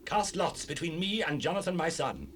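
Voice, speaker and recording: A man's voice: fairly high pitch, animated, moderate pace, close to an everyday voice. An actor playing a grave, commanding warrior king in a dramatized Bible recording, speaking with regal declamation. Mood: solemn. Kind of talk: dramatized scripture acting. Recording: noisy cassette rip, ambient sound.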